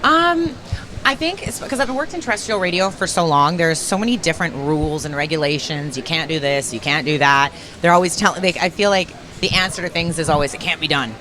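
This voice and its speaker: A woman's voice: sits low, a little fast, sharp tone, slight background noise. A Canadian woman in her thirties with a light Canadian accent, a radio host and program director chatting casually and upbeat in an interview.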